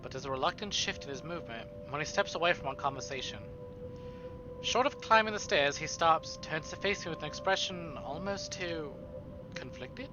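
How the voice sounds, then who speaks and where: High-pitched man reading dramatically over music. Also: expressive, moderate pace, muffled recording. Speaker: streamer. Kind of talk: reading visual novel narration aloud with casual asides. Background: game music.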